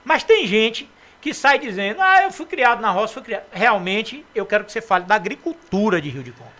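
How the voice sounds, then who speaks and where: A man from Brazil hosting a local radio interview, chatty and lively as he asks questions. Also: high-pitched, brisk. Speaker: radio host.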